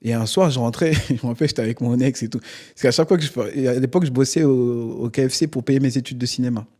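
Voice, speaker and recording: A man's voice: mid-range voice, fast. A man whose first language is not French, telling stories in a casual podcast studio interview and animatedly acting out the people he quotes. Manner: animated, acts out quoted voices, constant "tu vois". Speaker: interview guest, stage performer. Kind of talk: casual storytelling conversation.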